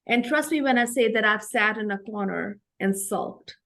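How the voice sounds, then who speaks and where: A woman's voice: mid-range voice, normal speed, creaky, fairly bright tone. Indian-accented woman in her 40s, earnest, reflective, keeps asking "right?". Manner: earnest, reflective, often ends points with "right?". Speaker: guest. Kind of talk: conversational interview.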